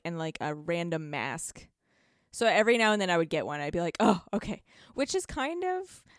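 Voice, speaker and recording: A woman with a fairly low voice tells stories in casual chat. She speaks informally and acts out moments by repeating short phrases. The sound is dry, with very little room in it. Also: dry recording. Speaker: podcast guest. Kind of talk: casual chat, animated storytelling about gaming.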